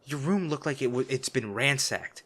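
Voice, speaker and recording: A man acts out a noble lady's bodyguard in a fantasy audio roleplay. He is a man with a medium-pitched voice, blunt and exasperated. His delivery is emotional and protective, swinging between scolding and anxious care. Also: expressive, normal speed, a bit bright. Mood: angry, scolding. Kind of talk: dramatic fantasy audio roleplay addressed to the listener.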